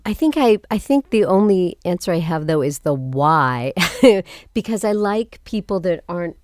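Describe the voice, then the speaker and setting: A woman's voice: sits low, darkish tone, smooth, no echo, studio quality. A woman playwright talking casually and thoughtfully as an interview guest.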